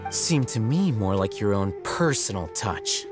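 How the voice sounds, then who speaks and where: An actor playing a composed, sardonic scholar in a video game, speaking in a measured, articulate, wry way. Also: male voice, on the low side, animated. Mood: dry, sardonic. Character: composed, sardonic male scholar, coolly analytical. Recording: studio voice over background music.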